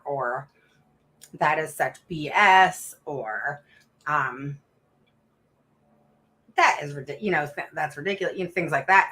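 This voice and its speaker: Frank, bright-voiced woman hosting a live chat. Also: low-pitched. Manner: frank, talkative, many fillers and restarts; calls herself a roaring mama advocate. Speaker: host of a live stream for special education parents. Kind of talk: casual live-stream chat, reading viewer comments.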